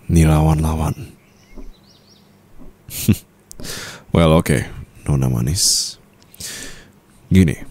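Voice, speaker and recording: Man voicing a cool mafia boss husband, extremely low pitch, slow drawl, teasing and smug, intimate ASMR roleplay. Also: vocal fry, mellow, heavily acted. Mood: teasing, commanding. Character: calculating, teasing young male mafia boss husband, coolly dominant.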